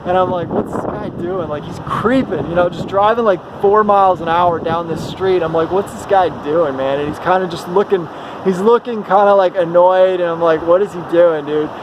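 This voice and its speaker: A male travel vlogger with a quite high voice rambles through a personal story. He is casual and slangy, acting out the dialogue as he goes. There is clear outdoor or vehicle noise behind him. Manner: animated, slangy, acts out dialogue.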